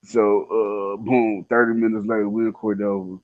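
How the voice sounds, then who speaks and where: A man's voice: on the low side, level tone, normal speed, dry recording, phone-quality sound. A man telling a personal story in casual slang, laid-back and conversational, acting out the dialogue as he goes.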